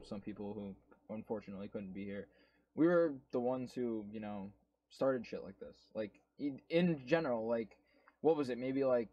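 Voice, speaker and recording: A man's voice: medium pitch, dark tone, no room sound. A man co-hosting a casual podcast, rambling with lots of fillers and some swearing. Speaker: co-host. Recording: dull lo-fi sound.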